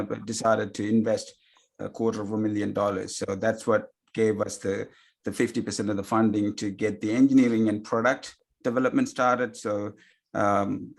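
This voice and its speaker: A man's voice: on the low side, vocal fry. A man, a company founder, speaking earnestly to investors over a video call. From came as a refugee. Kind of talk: investor webinar remarks.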